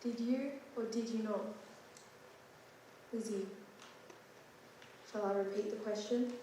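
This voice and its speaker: A woman's voice: medium pitch, steady tone, slow pace, dark tone, a little hiss or hum, natural voice. A woman playing an impatient interrogating officer with firm, clipped questions, recorded from far off on an echoey stage. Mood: stern. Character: stern interrogating officer losing patience.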